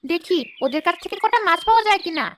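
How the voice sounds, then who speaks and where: Woman voicing a very high-pitched greedy river witch-ghost. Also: animated, quick pace, background noise. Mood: hungry, plotting. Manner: scheming, talking to herself. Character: greedy, sly female river witch-ghost of Bengali folklore. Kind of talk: animated folk tale character acting.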